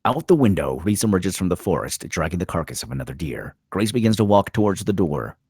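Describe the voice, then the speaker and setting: A man's voice: deep voice, sing-song, a little fast, a little mellow, breathy edge, dry recording, clean studio sound. A man reading screenplay stage directions as scripted narration, in terse, dramatic phrases.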